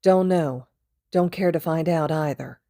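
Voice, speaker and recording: A woman's voice: low voice, measured pace, mellow, no room sound, clean studio sound. A woman voicing a blunt, defiant teenage boy vigilante in an audio story, curt and dismissive.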